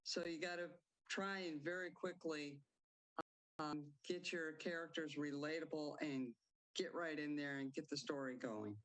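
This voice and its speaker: A woman playwright answering interview questions haltingly, breaking off words and starting again. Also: sits low, fairly even intonation, poor audio. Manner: halting, cuts off words and restarts.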